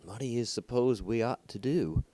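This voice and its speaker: A man's voice: mid-range voice, normal speed, warm tone, dry recording, voice pushed up, lightly acted. A man voicing concerned, puzzled village men in a storybook reading, with an earnest, emphatic manner.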